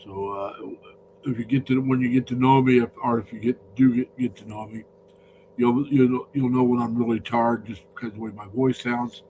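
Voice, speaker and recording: A man chats loosely on a live stream, his voice deeper than usual from a cold. He rambles and greets viewers by name, at a middling pitch, over a faint hum. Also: fairly even intonation, normal speed, mellow, poor audio. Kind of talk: casual live-stream chat.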